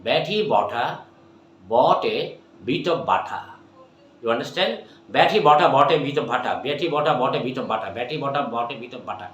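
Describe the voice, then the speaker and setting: A man from Bangladesh with a Bangladeshi English accent, teaching English by repeating tongue twisters slowly and emphatically. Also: medium pitch, expressive, fairly bright tone, muffled recording. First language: Bengali. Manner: repeats phrases slowly and emphatically, addresses viewers as my dear. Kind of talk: tongue twister drill lesson. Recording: echoey room, faint background noise.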